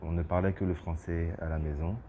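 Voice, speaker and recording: Guy, super deep dark voice, stops and restarts, bit of hiss behind. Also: little pitch movement, fairly quick. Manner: hesitant with restarts, then emphatic. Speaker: businessman, interviewee. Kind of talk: interview testimony.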